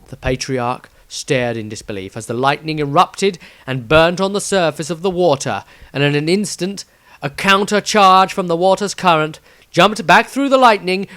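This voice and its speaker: A man's voice: on the high side, a bit bright, dry recording. A man reading his own fantasy story as a scripted, dramatic audiobook narration.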